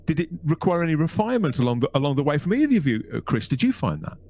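A man hosting a podcast interview, measured and searching for words with stammered restarts. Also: on the high side, very animated, darkish tone. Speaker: podcast host.

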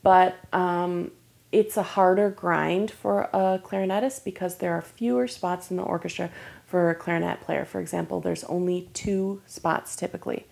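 A woman's voice: fairly low pitch, fairly even intonation, moderate pace, gravelly, darkish tone. A woman in her thirties, originally from Michigan, chatting as a guest on a podcast in an upbeat way and laughing often. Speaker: guest musician. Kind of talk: casual interview chat.

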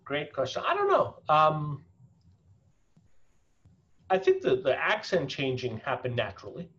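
A man from South Africa who now speaks with an American accent, telling his story as an interview guest in an earnest, halting way with many restarts. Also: on the high side, wildly swooping pitch, fairly bright tone, dull audio. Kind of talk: reflective personal storytelling.

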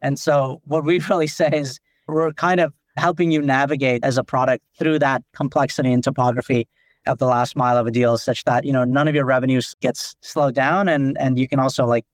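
Nepali-born founder, dry close sound. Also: male voice, medium pitch, no room sound. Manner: frequent "like" fillers, explanatory. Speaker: company founder and CEO, guest. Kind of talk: business podcast interview.

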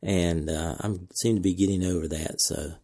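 A man hosting a podcast, reading announcements in a measured, deliberate way with casual asides, his voice cracking from a slight cold. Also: sits low, fairly even intonation, warm tone. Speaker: podcast host.

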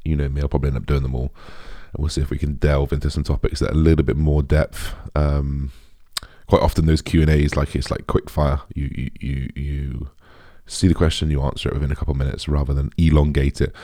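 A young British man with a deep, raspy voice, chatting casually as a podcast host in a rambling, informal way. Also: little pitch movement, fairly quick, dark tone, no echo, clean studio sound. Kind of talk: casual solo podcast chat.

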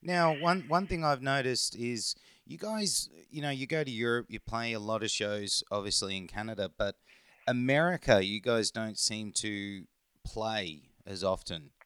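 Smooth low-voiced man hosting an interview. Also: unhurried, a bit bright, no echo. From England and Norway. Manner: friendly, leading questions with fillers. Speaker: podcast host. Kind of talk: casual interview.

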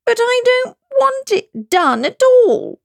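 A woman plays a muddled, querulous queen from a storybook, her voice pitched very high and swooping about. She groans out her grievances in a plaintive, creaky way, recorded close and dry. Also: big pitch swings, takes it slowly, no room sound, heavily acted. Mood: groaning, plaintive.

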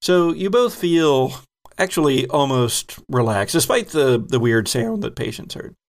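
Slow, gravelly-voiced male game master. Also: mid-range voice, big pitch swings. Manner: slow, deliberate narration with chuckles. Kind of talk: narrating a tabletop horror game.

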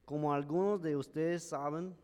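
Man, pastor, American accent, English native speaker, careful measured sermon, slightly high voice, long church reverb. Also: steady tone, a little mellow, smooth. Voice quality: careful, measured delivery of a learned language. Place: church sanctuary.

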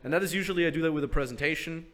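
A man hosting a podcast, giving casual business advice step by step. He keeps acting out sample sales pitches and checking in with "right?". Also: very fast, fairly bright tone. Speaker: business coach host.

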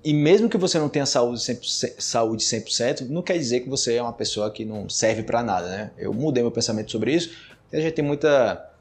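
A man from Brazil with a Brazilian Portuguese accent hosting a casual solo podcast, talking conversationally and growing animated when he acts out what people say. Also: mid-range voice, quick pace. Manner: animated, rises sharply when acting out quoted remarks; conversational, with frequent tag questions. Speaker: podcast host teaching Portuguese.

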